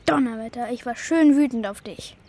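A woman's voice: a little high, moderate pace, mellow. A woman playing a blunt, sceptical but helpful young boy in a children's radio play. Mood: astonished.

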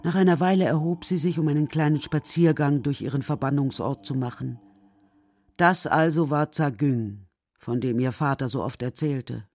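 A man's voice: a little high, moderate pace, darkish tone, no echo, studio quality. A man reading scripted narration for a children's radio play.

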